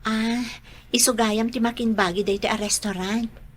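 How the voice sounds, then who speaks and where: A woman's voice: a little high, fairly even intonation, normal speed, lightly acted. A woman playing a polite, shy young restaurant cashier in an Ilocano radio drama, with an Ilocano accent. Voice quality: polite, soft-spoken.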